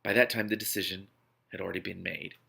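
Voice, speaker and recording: A man's voice: sits low, steady tone, fast talker, gravelly, sharp tone, slightly rough. A man reading a novel aloud expressively, often stumbling and breaking off to react. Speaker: book reader, reaction host.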